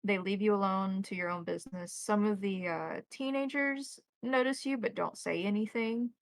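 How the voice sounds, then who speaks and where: Woman game master with a creaky voice. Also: medium pitch, normal speed, dry recording. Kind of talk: narrating a tabletop roleplaying game.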